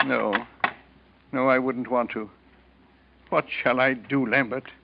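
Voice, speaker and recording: An actor playing an older, retired company owner, indignant and easily shaken, in a 1940s radio drama. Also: male voice, mid-range voice, steady tone, takes it slowly, creaky. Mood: worried, weary. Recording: dull vintage 1940s radio recording.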